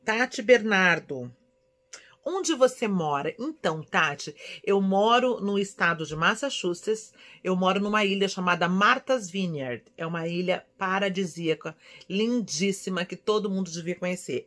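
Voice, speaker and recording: A woman in her forties from São Paulo with a Brazilian accent, chatting casually and affectionately as she answers viewers' questions in an expressive, conversational way. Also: fairly low pitch, normal speed. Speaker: vlogger. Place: small indoor room at home.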